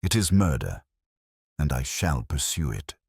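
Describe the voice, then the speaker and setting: A man's voice: extremely low pitch, big pitch swings, unhurried, vocal fry, darkish tone, slightly rough, dry recording, clean studio sound, full character voice. A man voicing a brilliant, unyielding Victorian London detective in an audiobook, formal and decisive in his speech. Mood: resolute.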